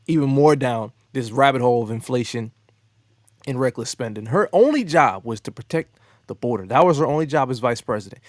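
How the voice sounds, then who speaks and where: A man's voice: mid-range voice, dry recording. A young man hosting his own channel, reacting to street interview clips with mocking, exasperated commentary from a bedroom studio. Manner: mocking, exasperated, animated asides. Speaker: host. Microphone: studio mic, headphones on.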